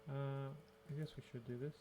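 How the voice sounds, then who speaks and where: A man's voice: mid-range voice, little pitch movement, moderate pace, background noise. An Australian man giving casual gameplay commentary, musing and thinking aloud to himself. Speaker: gaming video host.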